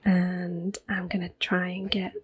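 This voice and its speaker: A woman giving soft-spoken ASMR gameplay commentary in a gentle, hushed, breathy voice that often drops into a whisper. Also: lowish voice, monotone, slow pace. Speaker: gaming video host.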